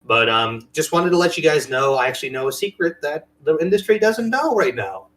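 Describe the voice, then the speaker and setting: A man's voice: fairly high pitch, big pitch swings, a little fast, fairly bright tone. A man hosting a casual podcast chat about games and movies, with a playful, jokey way of talking. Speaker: podcast host.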